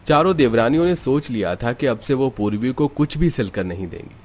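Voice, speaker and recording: A man narrating a cartoon family story in a plain, even storytelling voice. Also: mid-range voice, fairly quick, slight background noise.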